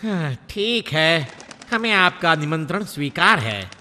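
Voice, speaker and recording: A man voicing a clever, courteous heron who leads his flock in a children's animated story. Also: a little high, unhurried, full character voice. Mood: polite, reluctant. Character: clever, polite male heron flock leader. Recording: long reverb, music behind.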